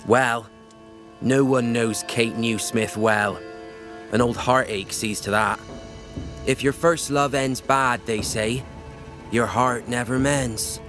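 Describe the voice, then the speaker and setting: An actor playing a sardonic, suspicious village gatekeeper in a game cutscene, grudging and foreboding. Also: male voice, mid-range voice, steady tone, slow, a bit bright, soft background music. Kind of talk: dramatic game cutscene acting.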